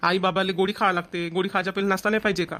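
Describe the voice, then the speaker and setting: An actor plays a village brother and husband in a family cartoon. He speaks quickly and insistently, in a homely way. His accent is rural Varhadi Marathi, and he is bossy but caring. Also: mid-range voice, level tone, pushed and bright, natural voice. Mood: impatient. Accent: Maharashtrian, rural Varhadi Marathi.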